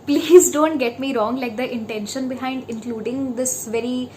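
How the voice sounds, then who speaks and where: A woman's voice: sits high, fairly even intonation, moderate pace, a little mellow, slight background noise. A young woman from India with an Indian accent, chatting casually as a vlogger and slipping between English and Hindi. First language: neither English nor Hindi. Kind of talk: casual chat.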